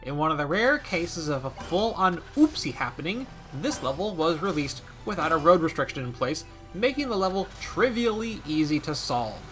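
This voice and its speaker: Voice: medium pitch, big pitch swings, normal speed, few pauses, fairly bright tone, soft background music. A video game commentator narrating a scripted gaming video with wry, playful asides.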